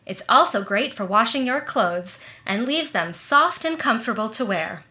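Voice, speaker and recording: High, bright, perky radio pitchwoman played by a woman. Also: lively intonation, normal speed, roomy sound. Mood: cheerful selling. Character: perky, amused neighbor friend and soap pitchwoman. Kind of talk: 1940s radio ad and comedy acting.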